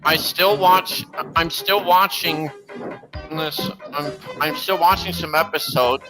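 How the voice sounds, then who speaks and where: A man's voice: fairly high pitch, fairly even intonation, slow pace, rough recording. A man hosting a livestream, giving casual, earnest, detail-focused commentary on videos he is watching. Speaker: livestream host. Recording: music playing behind the voice.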